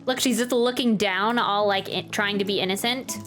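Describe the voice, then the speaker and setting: A woman's voice: medium pitch, normal speed, fairly bright tone. A woman giving casual live game commentary, musing and thinking aloud. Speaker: game reaction streamer. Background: game music.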